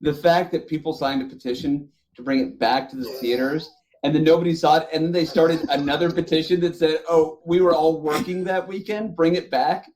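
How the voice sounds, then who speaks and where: A man's voice: on the high side, fairly even intonation, fairly quick, a little mellow, poor audio. A man bantering casually on a podcast panel, opinionated and loose with swearing.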